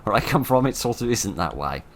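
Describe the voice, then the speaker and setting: A man commentating his horror game playthrough in a chatty, wry way, with a voice that is getting hoarse. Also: lowish voice, sing-song, brisk, a little hiss or hum. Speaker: gaming commentator. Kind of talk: let's play commentary.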